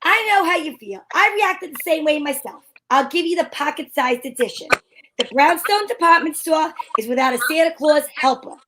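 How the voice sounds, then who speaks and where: A woman's voice: high voice, normal speed, background noise, lightly acted. A woman playing a chatty, wisecracking gal in old-time radio comedy, with a put-on accent. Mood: wisecracking, breezy. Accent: put-on character accent. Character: Candy, chatty wisecracking woman, friend of a photographer.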